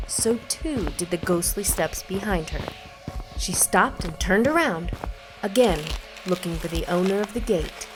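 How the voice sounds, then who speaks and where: A woman's voice: fairly low pitch, rough recording. A woman reading a scripted suspense story as its narrator.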